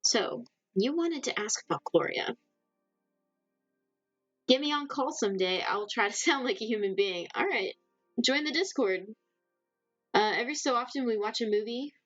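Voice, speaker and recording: Woman streamer, mild Southern accent, rambly and giggly, a bit high-pitched, dry sound. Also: expressive, moderate pace, pauses often, a bit bright, no echo, lo-fi sound, soft background music. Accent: mild Southern American. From Southern United States. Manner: rambling and chatty, laughs often. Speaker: livestream host. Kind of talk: casual chat with a live audience.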